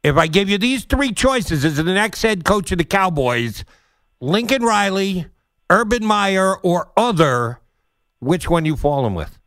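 A man's voice: high-pitched, animated, a little slow, a bit bright, dry recording. A man hosting a casual sports radio interview, putting questions in blunt, informal phrasing.

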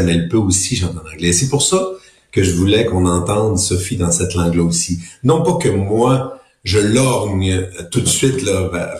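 Very deep male coach, halting and repeating himself, roomy echo. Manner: warm, halting, with repeated words. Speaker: singer and vocal coach. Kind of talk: casual interview.

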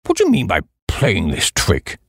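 A man's voice: sits low, wildly swooping pitch, moderate pace, vocal fry, breathy edge, no room sound, studio quality, pitched below the performer's own voice, exaggerated voice. A man voicing a haughty, easily affronted old English duke in an audiobook, stiff and formal. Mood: angry, stern. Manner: stiff, formal, coldly polite.

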